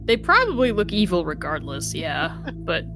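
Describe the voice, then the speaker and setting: A woman's voice: lowish voice, sing-song, moderate pace, music in the background. A woman chatting casually as a player in a tabletop game.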